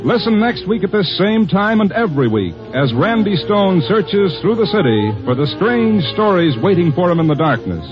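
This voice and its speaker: A man's voice: sits high, very animated, normal speed, few pauses, over music. A man announcing the credits of a 1950s radio show in a formal, sonorous manner, on a dull old broadcast recording.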